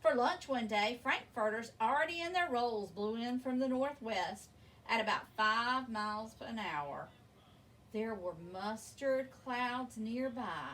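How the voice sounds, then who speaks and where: A woman reading a children's picture book aloud in an expressive, deliberate way, drawing out words for emphasis. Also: fairly high pitch. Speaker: storybook reader. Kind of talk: read-aloud children's story narration. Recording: distant mic with faint background noise.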